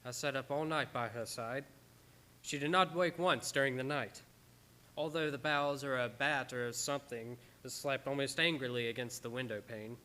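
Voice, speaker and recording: An actor playing an earnest, devoted doctor in a radio drama, who narrates and speaks in a solemn, dramatic way. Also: male voice, mid-range voice, moderate pace, sharp tone, close to an everyday voice. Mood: solemn, haunted. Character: earnest, devoted, anguished male doctor narrating his journal.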